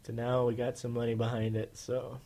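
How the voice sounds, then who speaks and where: A man's voice: mid-range voice, completely flat delivery, moderate pace, creaky, warm tone, slight background noise. A man hosting a casual podcast, with a nasal voice, rambling with many fillers and restarts. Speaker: podcast host.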